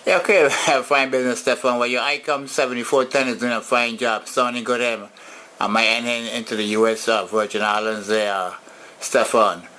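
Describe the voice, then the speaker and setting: A man's voice: medium pitch, fairly even intonation, normal speed, pushed and bright. A man making easygoing, casual small talk as an amateur operator over two-way radio, using operator jargon. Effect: two-way radio transmission. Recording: radio transmission with background static.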